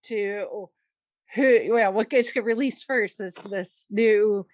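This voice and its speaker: A woman's voice: mid-range voice, slow pace, darkish tone, no echo, studio quality. A woman hosting a podcast, reading out fandom news in a casual, wry and playful way with chuckles. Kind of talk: casual chat.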